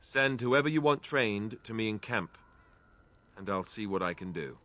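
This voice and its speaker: Low-voiced, earnest young warrior. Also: male voice, expressive, normal speed, bright, forceful tone. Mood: matter-of-fact. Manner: hesitant, sincere. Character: earnest young male warrior companion, hesitant and gentle.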